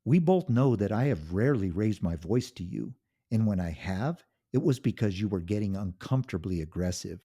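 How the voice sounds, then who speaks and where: A man's voice: lowish voice, animated, normal speed, warm tone, breathy edge. A man voicing a cold, scornful wife who mocks and belittles her husband in a cutting way. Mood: taunting. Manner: cutting, mocking, condescending. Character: scornful cold-hearted wife, adult woman.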